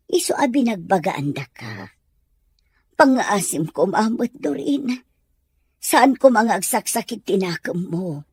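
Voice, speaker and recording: A woman's voice: mid-range voice, a little mellow, breathy edge, no room sound, exaggerated voice. A woman playing a patient, devoted housemaid and nanny in an audio drama, deferential and pleading. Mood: hurt, pleading. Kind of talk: radio drama acting.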